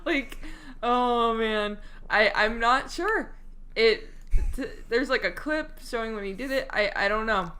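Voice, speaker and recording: A woman's voice: a little high, a little slow, pushed and bright. Giggly, hesitant woman co-host. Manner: hesitant, giggly, stumbles over names. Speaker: podcast co-host. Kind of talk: casual chat.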